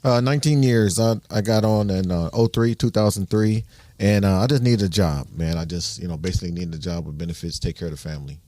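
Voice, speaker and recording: A man in his forties, a police officer, speaks in a low, mellow voice. He talks haltingly with many fillers, and is recorded close and dry. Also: dry recording. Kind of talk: casual interview.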